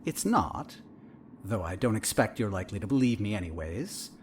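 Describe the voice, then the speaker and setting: An actor playing a calm, probing and coolly manipulative therapist in an audio drama, speaking in a measured, clinical, needling way. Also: male voice, on the low side, a little hiss or hum. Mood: quietly sinister.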